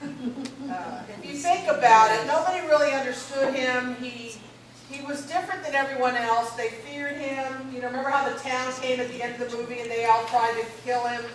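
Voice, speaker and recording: A middle-aged woman gives a relaxed, rambling talk in a strong, fairly high voice. She sounds distant, caught by a mic far across a very echoey college hall. A faint hiss of noise sits under her. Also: moderate pace. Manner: strong voice, loose and chatty with many fillers. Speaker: gerontology lecturer. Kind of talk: informal symposium presentation.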